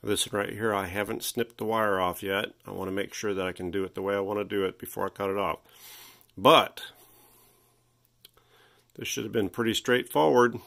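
A man with a low-pitched voice walks through a hands-on restoration job. He speaks casually and in a folksy way, pausing often as he thinks aloud. He is indoors in a workshop, picked up by a handheld device. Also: steady tone, vocal fry, a bit bright. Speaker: hobbyist electronics restorer presenting his repair.

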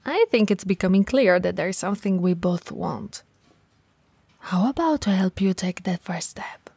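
A woman playing a tall, cool, flirty shop owner in a soft, breathy, intimate ASMR roleplay. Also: mid-range voice, expressive, moderate pace, dry recording. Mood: intimate, coaxing. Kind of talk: ASMR romantic roleplay acting. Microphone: close mic, dry.

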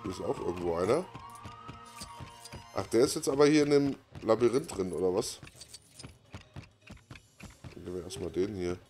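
A man's voice: low voice, animated, normal speed, long pauses, a little mellow. A man doing casual let's play commentary as a gaming host, thinking aloud while he plays. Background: game music and sound effects.

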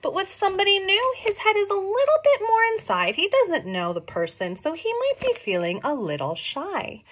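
A middle-aged woman reading a picture book aloud to young children, expressive and questioning, explaining things simply as she goes. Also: very high voice, moderate pace. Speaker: read-along storyteller for young children. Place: small room at home.

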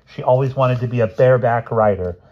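A man's voice: mid-range voice, fairly quick, muffled recording, voice pushed up, lightly acted. A man voicing a confident, soothing young girl with a knowing, reassuring manner, in a storybook reading.

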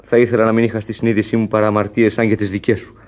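An actor playing a loyal, gruff sailor who comforts his friend in a Greek radio drama, earnest and soothing. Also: lowish voice, level tone, darkish tone, lightly acted. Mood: soothing, defiant. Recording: radio play with music underneath. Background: music.

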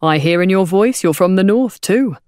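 A woman voicing a grinning male hawker for an audiobook, slick and taunting, with loud wheedling sales patter. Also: fairly low pitch, expressive, unhurried, no echo, studio quality. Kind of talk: audiobook character voice.

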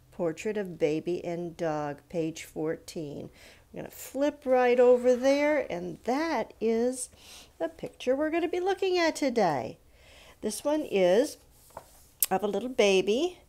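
Female children's art educator, unhurried, mid-range voice, halting phrases, simple questions to kids. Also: medium pitch, warm tone. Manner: simple questions posed to young listeners. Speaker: art teacher and presenter. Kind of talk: children's art lesson, read-aloud and explaining.

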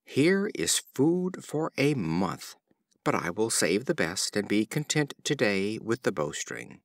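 A man voicing a greedy male wolf in a storybook fable, speaking slowly and gloatingly. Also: fairly low pitch, sing-song, no echo, studio quality, heavily acted.

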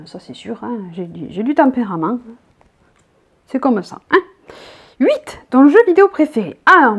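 A woman's voice: on the high side, dull audio. A woman in her thirties from the south of France, with a southern French accent, chatting casually as a vlogger and reading out tag questions from her bedroom. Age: 30s. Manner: chatty, rambling, reads each question out loud. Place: bedroom, indoors.

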